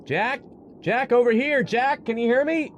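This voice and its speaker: A man's voice: very high-pitched, a little slow, slight background noise. A man playing an anxious young man who calls out pleadingly to his partner in the snow, in an old-time radio drama. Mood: searching, worried. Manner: pleading, calling out.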